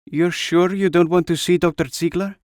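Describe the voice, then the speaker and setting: Man voicing a brisk, exasperated woman, pitched up. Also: fairly even intonation, a little mellow, no echo, studio quality, close to an everyday voice. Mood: wry, pressing. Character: Anna, brisk, teasing, exasperated older woman.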